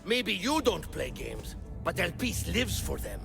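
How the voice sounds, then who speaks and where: A jealous snooty king villain, gravelly, swoopy pitch, suspicious, game cutscene. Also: big pitch swings, unhurried, over music, heavily acted. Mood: suspicious, cold. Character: suspicious, controlling, haughty male ruler of Atlantis, jealous villain. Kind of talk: video game cutscene acting.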